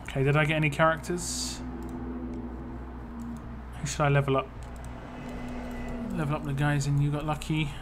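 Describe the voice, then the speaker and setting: A man's voice: a little high, level tone, normal speed, long pauses, noisy background. A man casually chatting on a live stream, reading viewer comments aloud and answering them as he plays. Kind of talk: casual live stream chat while playing a mobile game.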